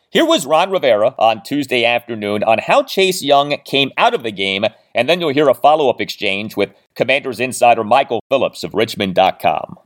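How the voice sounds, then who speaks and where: A man hosting a sports podcast, delivering commentary and stat recaps in a measured, emphatic announcer-like way. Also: mid-range voice.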